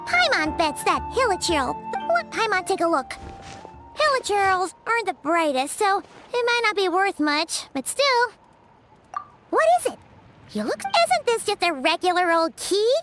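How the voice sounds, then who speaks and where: A woman voicing a small, excitable, childlike fairy companion in a video game, squeaky and full of curious exclamations. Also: unhurried, full character voice. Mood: curious, excited. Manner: exclamatory, speaks of self in third person. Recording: music behind the voice.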